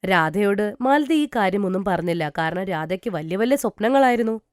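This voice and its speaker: A female storyteller narrates a cartoon tale from a script. Her pitch is average for a woman, and the sound is dry without room echo. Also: animated, normal speed.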